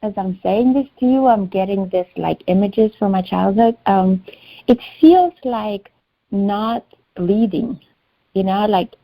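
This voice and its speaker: A woman's voice: mid-range voice, a little slow, no room sound, lo-fi sound. A woman whose first language is Albanian, sharing her struggles with a healer in a hesitant voice, often searching for words. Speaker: client in a healing session. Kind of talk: personal sharing in a one-on-one session.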